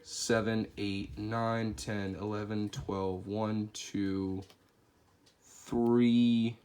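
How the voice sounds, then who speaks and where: A man's voice: fairly low pitch, very slow. A man with a stuffy nose and a voice cracking from a cold reviews a toy figure in a chatty, easygoing way, thinking aloud as he handles it. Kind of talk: casual product review. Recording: clear steady background noise.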